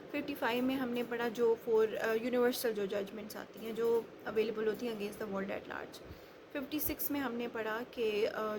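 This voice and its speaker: A woman giving an explanatory law lecture step by step, mixing in English legal terms. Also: fairly high pitch. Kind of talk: explanatory lecture with worked examples. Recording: clearly noisy recording with background ambience.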